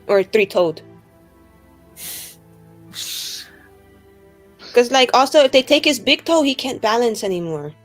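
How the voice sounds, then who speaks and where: A woman's voice: a little high, animated, fairly quick, soft background music, voice pushed up. A woman playing a friendly, naive young female adventurer in a tabletop game, rambling casually in very colloquial phrasing. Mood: concerned, chatty. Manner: rambling, casual, filler-heavy valley-girl phrasing. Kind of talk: improvised tabletop roleplay dialogue.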